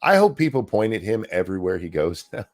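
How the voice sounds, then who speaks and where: A man hosting a casual live stream, ranting in a crude, sarcastic way with loud outbursts. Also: fairly low pitch, big pitch swings, quick pace. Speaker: stream host. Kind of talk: casual profane ranting chat.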